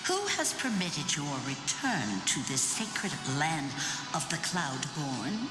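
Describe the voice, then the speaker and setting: A woman's voice: sits low, big pitch swings, takes it slowly, heavily acted. A woman voicing a stern, sorrowful elder of a sky-worshipping people in a fantasy game, speaking in a solemn, declamatory way. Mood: solemn condemnation. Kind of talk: dramatic fantasy game voice acting. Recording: echoing hall reverb over loud music.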